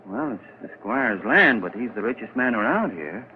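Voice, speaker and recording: An actor playing a poor, suspicious, excitable farmer with a folksy manner in an old-time radio drama. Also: male voice, medium pitch, normal speed, natural voice. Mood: puzzled, wary. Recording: tinny, noisy 1940s radio broadcast.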